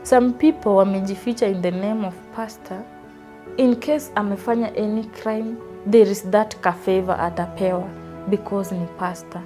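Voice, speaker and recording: A woman's voice: medium pitch, normal speed, warm tone, soft background music. A Kenyan woman in her twenties from Western Kenya, earnestly telling her life story in an interview and switching freely between English and Swahili. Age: 20s. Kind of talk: personal testimony interview.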